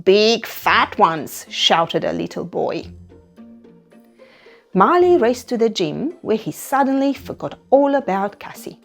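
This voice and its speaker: Unhurried, very expressive woman storyteller. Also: a little high, gravelly. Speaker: storyteller reading a picture book aloud. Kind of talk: animated read-aloud narration for young children. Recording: music behind the voice. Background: music.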